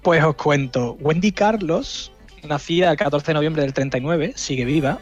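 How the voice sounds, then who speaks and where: A man giving an informal, explanatory radio music segment, often pausing mid-phrase and tagging his points for agreement. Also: fairly high pitch. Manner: halting, explanatory, seeks agreement with tags. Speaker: radio music section contributor. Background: music playing underneath.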